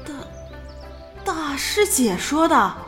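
An actress voicing a timid, flustered young lover in an audio drama, bewildered and fretting as they protest innocence. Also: female voice, high-pitched, big pitch swings, slow pace, heavily acted. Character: timid, flustered, anxious lovestruck young junior. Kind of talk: modern audio drama acting. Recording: music behind the voice.

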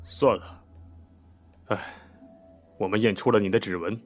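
An actor playing a police inspector in a radio drama, polite and measured but quietly pressing and official. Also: male voice, fairly low pitch, fairly even intonation, soft background music, close to an everyday voice. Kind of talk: broadcast drama acting.

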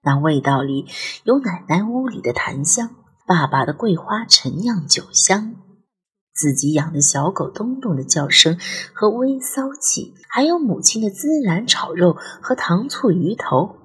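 A woman narrates a dramatic ghost story at an unhurried pace. Her voice is breathy and expressive, slightly low, with music playing beneath it.

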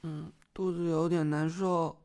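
A man's voice: a little high, level tone, measured pace, gravelly, a little mellow, slight background noise. An actor playing a young male beat cop who is cheeky, brash and playful in an audio drama. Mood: lazy, affectionate.

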